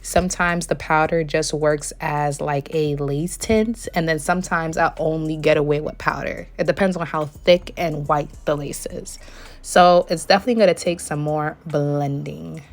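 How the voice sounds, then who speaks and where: A young woman with a slightly hoarse voice, still recovering, walking through a wig review and install step by step. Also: low voice, normal speed. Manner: step-by-step explaining, relaxed. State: hoarse, recovering from losing her voice. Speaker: beauty vlogger reviewing a wig. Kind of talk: product review voice-over.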